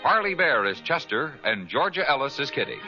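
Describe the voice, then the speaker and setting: A man's voice: mid-range voice, very animated, brisk. A man announcing credits in the formal style of a 1950s radio show. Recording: muffled old radio recording over music.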